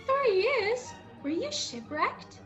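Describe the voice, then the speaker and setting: A woman's voice: very high-pitched, very animated, slow pace, a bit bright, dull audio, soft background music, heavily acted. A woman playing a young cabin boy who narrates his adventure earnestly and dramatically in a radio play. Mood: frightened, suspenseful.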